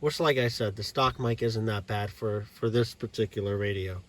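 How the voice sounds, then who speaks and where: An American man giving a casual, unscripted video tour as a hobbyist, in a halting, plainspoken way with pauses mid-sentence. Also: lowish voice, steady tone. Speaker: amateur radio hobbyist giving a setup tour.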